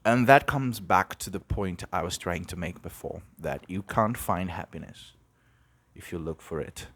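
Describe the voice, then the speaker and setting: A young man from Brazil hosting an unscripted podcast, musing and halting, with many restarts. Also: low-pitched, a bit bright, no room sound. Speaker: podcast host. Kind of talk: unscripted reflective monologue.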